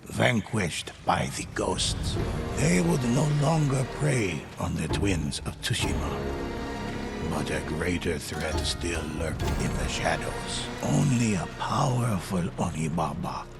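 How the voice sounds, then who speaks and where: A man voicing a grave storyteller, narrating ghost legends for a game in a slow, gravelly voice. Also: exaggerated voice. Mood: solemn. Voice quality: deep, gravelly. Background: music.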